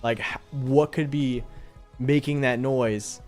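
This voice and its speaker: A man's voice: medium pitch, fairly even intonation, slow, soft background music. A man hosting a livestream, chatting casually and informally in slangy, appreciative asides to his viewers. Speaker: live streamer and host.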